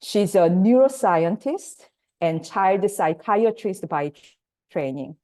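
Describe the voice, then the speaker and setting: A woman whose first language is Korean, hosting and introducing speakers on a video call webinar, measured with pauses. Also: mid-range voice, warm tone. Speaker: workshop host and facilitator.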